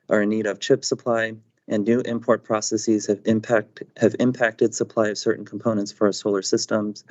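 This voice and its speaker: A man with a fairly low voice reads prepared financial remarks at an even, businesslike pace. He sounds measured and composed throughout. The audio is dull and lo-fi, like a conference call. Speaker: company finance chief on an earnings call.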